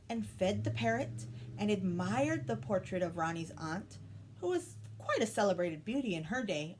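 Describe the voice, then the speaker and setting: A woman's voice: mid-range voice, darkish tone, rough recording. A woman reading a children's story aloud as a storytime host. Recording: some background noise.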